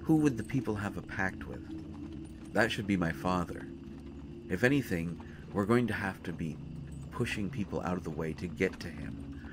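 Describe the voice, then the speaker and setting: A man playing a brooding young prince in a fantasy audio drama, speaking to the listener in a halting, earnest, dramatic way. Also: low-pitched, lively intonation, noisy background. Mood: tense, reasoning. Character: brooding young male prince at war with his father. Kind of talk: fantasy roleplay audio acting addressed to the listener. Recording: music behind the voice.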